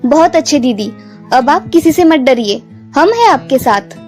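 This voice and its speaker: A woman's voice: high voice, sing-song, normal speed, darkish tone, faint music behind. A woman voicing an assertive young daughter-in-law and news reporter in a cartoon, firm and outspoken. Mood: assured, encouraging. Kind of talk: Hindi cartoon story dialogue.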